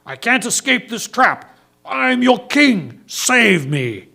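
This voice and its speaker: A man's voice: extremely high pitch, big pitch swings, slow pace, creaky, pushed and bright, voice pushed up, heavily acted. A man voicing a mighty, proud lion king for a storytelling, bellowing imperiously and desperately. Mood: desperate, commanding.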